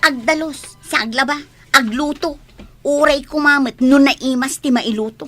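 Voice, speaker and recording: An actress playing a sulky, complaining young daughter in a radio comedy drama. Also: female voice, high voice, normal speed, sharp tone, faint music behind. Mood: resentful.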